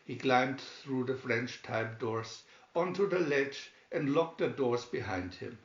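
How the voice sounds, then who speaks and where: Male storybook narrator, slow pace, dramatic read-aloud delivery, roomy echo, muffled sound. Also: medium pitch, lively intonation. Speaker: storybook reader. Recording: dull, echoey room recording.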